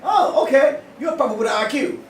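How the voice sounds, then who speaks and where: A man hosting a puppet show, bantering playfully in a colloquial, animated way and often repeating himself. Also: very high-pitched, fast. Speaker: host of a youth puppet show. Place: indoors at a museum. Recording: roomy, distant mic with faint noise.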